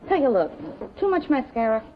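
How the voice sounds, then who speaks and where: A woman's voice: high-pitched, sing-song, brisk. An actress playing a brassy, gabby, self-absorbed showgirl mother in a 1950s television drama. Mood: chatty, breezy. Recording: dull 1950s TV soundtrack, clear music behind.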